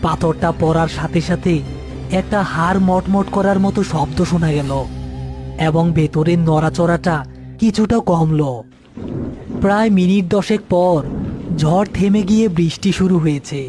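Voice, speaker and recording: A man's voice: sits high, moderate pace, over music. A man reading scripted narration for a horror story as narrator and channel host.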